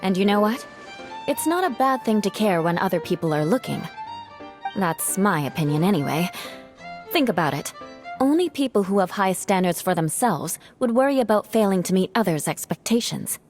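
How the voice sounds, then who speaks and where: A woman voicing a confident, proud young noblewoman in a video game, composed, measured and self-assured. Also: mid-range voice, animated, darkish tone, soft background music, close to an everyday voice. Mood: reassuring. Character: confident young noblewoman dancer, proud, sharp-tongued yet kind.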